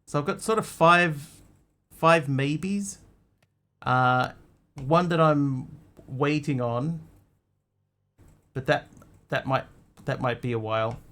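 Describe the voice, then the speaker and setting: A man's voice: a little high, takes it slowly, bright, forceful tone. A man who grew up in Australia, chatting casually to his stream audience in a rambling, hesitant way with lots of ums and restarts. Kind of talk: casual live-stream chat.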